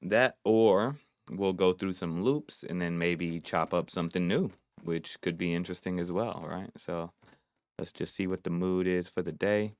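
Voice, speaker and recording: Low smooth guy chilling on a beat stream, laid-back, laughs a lot, dry close sound. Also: fairly even intonation, moderate pace, a little mellow, dry recording. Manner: laid-back, laughs often, reads chat aloud. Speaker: music producer hosting a livestream. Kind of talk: casual livestream chat.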